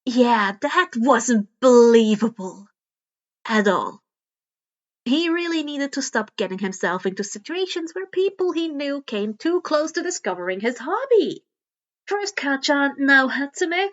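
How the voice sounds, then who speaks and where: High-voiced woman reading a story aloud. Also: big pitch swings, normal speed, a bit bright, dull audio. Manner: occasional stumbles over words. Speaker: podfic reader. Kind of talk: expressive audiobook narration of fan fiction.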